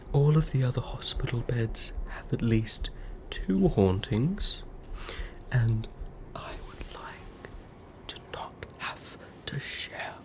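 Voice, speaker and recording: A woman's voice: extremely low pitch, darkish tone, heavily acted. A woman with an Australian accent playing a fussy, polite ghost in a whispered ASMR roleplay, with quaint, hesitant wording. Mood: hesitant, pleading. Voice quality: breathy whisper. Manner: quaint old-fashioned wording, hesitant, apologetic. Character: fussy, polite female ghost haunting a hospital bed. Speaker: ASMR performer.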